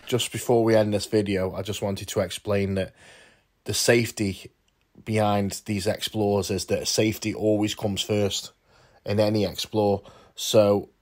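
A man's voice: lowish voice, flat delivery, a little slow. A man, explaining in an informal vlogger's voice-over. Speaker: urban exploration vlogger.

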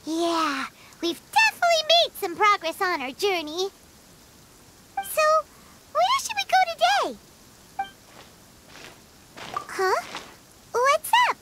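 A woman voicing a small, excitable floating fairy girl with a squeaky childlike voice in a video game. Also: a little slow, long pauses, very noisy, heavily acted. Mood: curious. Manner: perky, exclamatory, speaks of herself in third person. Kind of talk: cartoonish video game voice acting.

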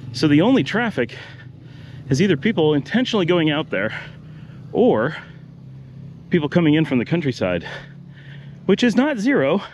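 A man's voice: fairly high pitch, moderate pace, pauses often, dull audio, slight background noise. A middle-aged man casually narrating a walking tour, pointing out houses and shops as he strolls outdoors on neighborhood streets. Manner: relaxed, pointing things out as he walks. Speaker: vlogger giving a walking tour.

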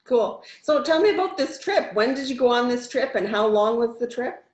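A woman in her fifties hosting a casual interview over a video call, upbeat and quick to laugh. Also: a little high, animated, a little mellow. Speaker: host. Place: home room. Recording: roomy, dull video call audio.

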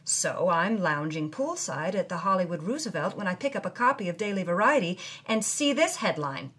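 A woman's voice: fairly low pitch, big pitch swings, moderate pace, fairly bright tone, breathy edge, heavily acted. A woman playing a brash, wronged B-movie starlet from the 1950s, telling her memoir in wry, theatrical first-person narration for an audio drama. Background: music.

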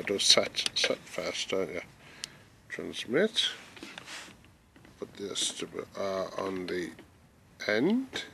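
A middle-aged man chatting casually and haltingly as he demonstrates gear, thinking aloud. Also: lowish voice, vocal fry, bright, forceful tone. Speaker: hobbyist presenter demonstrating radio gear. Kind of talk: casual hands-on demonstration chat. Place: small room at home and back garden. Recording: noisy amateur camera audio.

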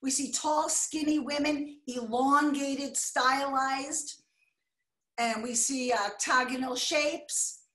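An older American woman giving a chatty, excitable slideshow lecture on a video call, now and then apologizing when the slides skip. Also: sits high, slow pace. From United States. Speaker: art deco lecturer. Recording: echoey room.

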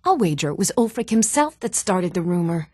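A woman playing poised, articulate townswomen and noblewomen in a fantasy video game, with measured, formal delivery. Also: lowish voice, big pitch swings, a little mellow, slightly rough, no room sound, clean studio sound. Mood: conversational, concerned. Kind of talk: scripted fantasy game dialogue.

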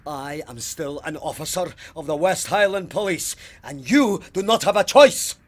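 A man's voice: a little high, animated, normal speed, lightly acted. A man playing an outraged, stubborn, pious police sergeant in an audio drama, speaking formally and emphatically. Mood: defiant. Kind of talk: full-cast audio drama acting.

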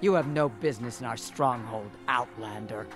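A man playing a gruff, wary orc tribesman in a fantasy video game, guarded and solemn. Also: mid-range voice, normal speed, over music, close to an everyday voice. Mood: hostile.

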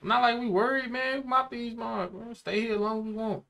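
A man's voice: extremely high pitch, fairly even intonation, fairly quick, creaky, pushed and bright. A young American man giving casual, slangy, playful reaction commentary. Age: young adult. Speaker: anime reaction show host. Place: small indoor room. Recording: music in the background. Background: anime episode music.

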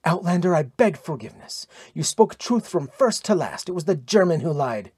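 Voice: medium pitch, big pitch swings, normal speed, a little mellow, breathy edge, no room sound, clean studio sound. A narrator voicing a fierce, proud Norse warrior princess with archaic, declamatory speech in an audiobook adventure. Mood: remorseful.

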